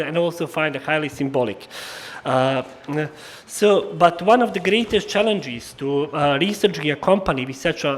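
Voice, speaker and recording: A man with a Slavic accent delivers a formal historical lecture. His voice sits somewhat higher than average for a man. He speaks haltingly, often stumbling and repeating words as he searches for the next phrase. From former Yugoslavia. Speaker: historian giving an annual lecture.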